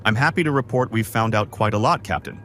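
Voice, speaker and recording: A man's voice: fairly low pitch, quick pace, fairly bright tone, natural voice. A man playing a courteous, methodical crew brother in a science fiction fan audio drama. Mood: explanatory. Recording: faint background noise.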